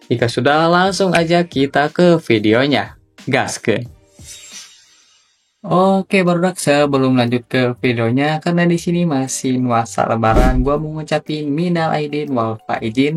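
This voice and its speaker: A man with an Indonesian accent hosting a casual, slangy tutorial, talking straight to his viewers. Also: fairly high pitch. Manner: chatty, slangy, addresses viewers directly. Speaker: YouTube tutorial host. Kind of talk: casual scripted tutorial narration.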